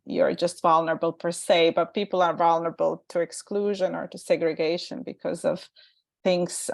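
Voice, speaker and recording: A woman with a Croatian accent moderates a webinar in a slightly low voice. She talks informally and hesitantly, rephrasing her sentences as she goes. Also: gravelly. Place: online webinar call.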